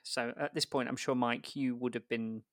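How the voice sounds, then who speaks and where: A man's voice: mid-range voice, steady tone, normal speed, fairly bright tone, dry recording, studio quality. A man telling an embarrassing personal story in casual chat, laughing at himself along the way. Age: adult. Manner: self-mocking, laughing often through the tale. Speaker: guest storyteller. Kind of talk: casual anecdote.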